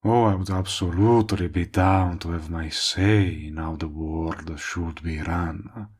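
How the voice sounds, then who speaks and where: A man's voice: low voice, a little mellow. A man playing a smug, possessive, lovestruck villain in a romantic comedy audio roleplay, talking to the listener in a sardonic, persuasive way. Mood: earnest, wheedling. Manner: smooth-talking, sardonic, drawn-out emphatic phrasing. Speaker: voice actor.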